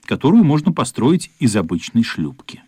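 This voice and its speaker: A man narrating a radio play in a scripted storybook style, with a husky voice. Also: mid-range voice, sing-song, moderate pace, darkish tone, no echo, studio quality.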